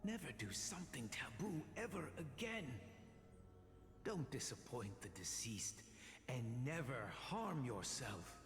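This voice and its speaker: A man's voice: mid-range voice, big pitch swings, a little slow. An actor playing a stern but caring older male teacher in a video game, earnest and admonishing. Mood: solemn, pleading. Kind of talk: theatrical video game voice acting. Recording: echoey long reverb, music behind.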